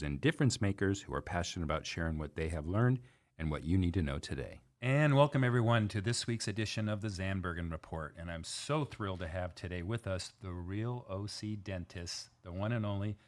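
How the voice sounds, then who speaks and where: A man's voice: sits low, brisk. A man hosting an interview podcast, speaking as the presenter in a studio. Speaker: podcast host.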